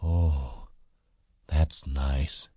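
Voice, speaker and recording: A man voicing a big, simple-minded, childlike farmhand in an audiobook, pleading and easily frightened, with halting, repetitive rustic speech. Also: extremely low pitch, steady tone, dark tone, breathy edge, no room sound, full character voice. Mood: delighted.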